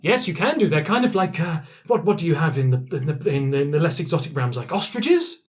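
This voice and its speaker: A man voicing a chatty, apologetic dinosaur stable keeper in an improvised fantasy game, halting and polite. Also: fairly high pitch, big pitch swings. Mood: helpful.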